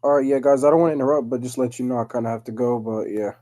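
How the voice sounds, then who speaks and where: A man's voice: mid-range voice, fairly even intonation, rapid-fire, warm tone. A nineteen-year-old man chatting casually and informally on an online group call. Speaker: student chat participant. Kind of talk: casual chat.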